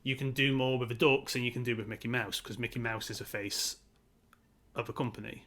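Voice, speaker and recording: A man with a bright, forward voice and middling pitch, co-hosting a podcast. He talks casually and analytically about films, pressing his opinions with emphasis and drifting into tangents. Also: fairly even intonation, brisk. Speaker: podcast co-host. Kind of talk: opinionated casual film discussion.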